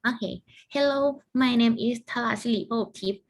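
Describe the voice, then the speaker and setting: Young woman student, fairly high voice, earnest, halting answers. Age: young adult. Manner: earnest, slightly halting, with "like" fillers. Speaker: university student. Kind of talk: mock job interview answers.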